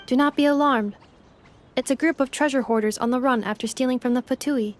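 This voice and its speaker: A woman voicing a calm, logical robot caretaker in a video game, with formal, matter-of-fact phrasing. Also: high-pitched, brisk, slight background noise, lightly acted. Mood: reassuring. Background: music.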